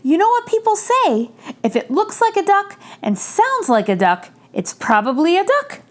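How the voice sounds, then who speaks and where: A woman voicing a knowing female school principal in a storybook, explaining things in a measured way. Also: very high voice, sing-song, pitched above the performer's own voice.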